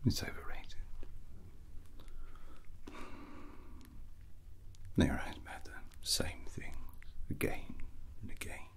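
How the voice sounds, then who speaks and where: A man playing a drunken, arrogant, brooding rogue prince in a fantasy ASMR roleplay, speaking in a breathy whisper with halting, musing phrases. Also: fairly bright tone, close to an everyday voice. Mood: brooding, tipsy. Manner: slow, halting, musing, haughty.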